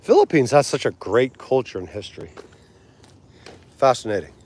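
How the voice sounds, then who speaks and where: Casual streamer, man, late forties, mid-pitched, chatty and loose, quick to laugh. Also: very animated, a little mellow. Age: 40s. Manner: chatty, laughs easily, tries foreign words slowly. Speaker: livestream host. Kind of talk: casual livestream chat with viewers.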